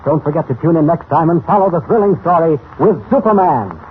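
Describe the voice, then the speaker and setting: A man's voice: fairly high pitch, lively intonation, brisk, a little hiss or hum, lightly acted. A man narrating a 1940s radio serial in a formal, suspenseful, dramatic style, on a tinny old recording. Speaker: announcer, narrator.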